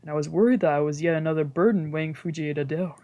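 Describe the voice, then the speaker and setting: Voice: medium pitch, fast, dark tone. A streamer reading a visual novel story aloud as narration, sometimes stumbling and rereading phrases. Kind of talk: scripted narration read aloud in a let's play. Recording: game music behind the voice. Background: visual novel music.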